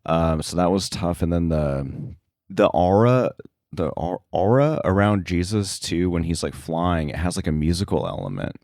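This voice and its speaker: A man speaking casually as an interview guest about his work, thinking aloud in a halting way. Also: deep voice, very animated, vocal fry, darkish tone, no echo, studio quality. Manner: halting, thinking aloud, frequent filler likes. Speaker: sound editor, interview guest.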